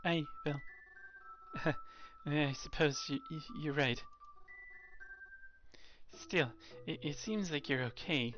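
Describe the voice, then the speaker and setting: A woman playing an earnest, gentle young medium in an audio drama, speaking hesitantly and with small stammers. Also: sits low, slow, long pauses, dull audio, faint music behind. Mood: tentative, relieved.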